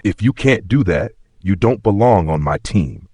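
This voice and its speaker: A man voicing a calm, stern, quietly menacing airline CEO in an audio story, measured and firm. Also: on the low side, breathy edge, no echo.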